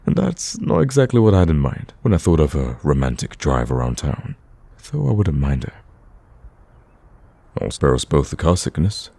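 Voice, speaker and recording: An eager villain in love, acted by a man for a romantic ASMR roleplay. His voice is extremely low, dark and mellow, with a husky rasp. He talks in a casual, conversational way and gets flustered easily around his date. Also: big pitch swings, normal speed, full character voice. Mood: earnest, reassuring.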